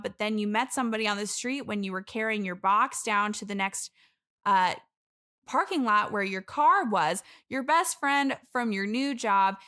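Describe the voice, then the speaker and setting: A woman's voice: mid-range voice, pushed and bright, clean tone. A young woman playing an eager, earnest bridesmaid in an improvised comedy interview, chatty and rambling. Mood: earnest, gushing. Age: young adult. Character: eager, earnest expert bridesmaid desperate for friends. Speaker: podcast guest.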